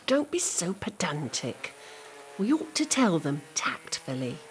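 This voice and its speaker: Middle-aged wife, female actor, earnest and emphatic, easily exasperated, very varied pitch, for comic audio drama. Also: very animated, a little slow, a little hiss or hum. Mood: insistent. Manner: emphatic, insistent. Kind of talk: two-hander comic audio play dialogue.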